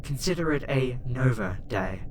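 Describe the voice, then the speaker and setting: Woman playing a formal ship's computer, measured, calm, gently reassuring, ASMR sci-fi roleplay, background noise. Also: rumbling low. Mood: kindly. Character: caring, formal spaceship AI assistant, calm and matter-of-fact. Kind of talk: ASMR roleplay audio drama.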